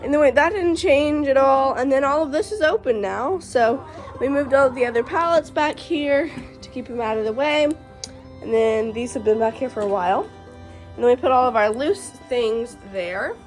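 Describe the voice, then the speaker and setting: A chatty woman with a fairly high voice, a little stuffy and congested. She talks excitedly, with plenty of "um"s, while filming outdoors beside a shed. Faint music plays softly underneath. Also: normal speed. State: stuffy from allergies. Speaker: farm vlogger. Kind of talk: casual vlog.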